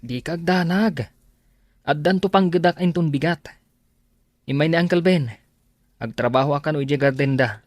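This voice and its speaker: A man's voice: on the high side, normal speed, long pauses, dry recording, clean studio sound, close to an everyday voice. A man with an Ilocano accent playing a lazy, evasive young husband who grumbles in a radio drama.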